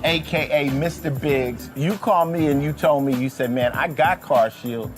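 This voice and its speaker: A male presenter hosts a testimonial commercial in a slightly high voice. He speaks as an interviewer over a clearly audible music bed. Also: normal speed. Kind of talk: scripted testimonial ad.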